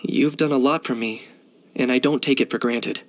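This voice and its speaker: A man's voice: medium pitch, fairly even intonation, normal speed, fairly bright tone, natural voice. Composed, dry young hunter played by a man. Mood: calm, polite. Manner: composed, matter-of-fact, dry. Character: cool, pragmatic young male hunter and mercenary. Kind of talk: video game character acting.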